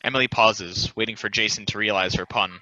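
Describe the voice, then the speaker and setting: A man narrates stage directions in a scripted style. His lowish voice moves quickly, and the recording is very dry and slightly dull. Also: fairly even intonation, pushed and bright, no room sound.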